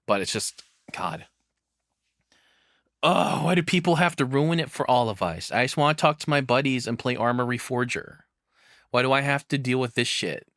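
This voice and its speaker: Male reaction streamer, mid-pitched, halting and sweary casual commentary, dry close recording. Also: a bit bright, dry recording.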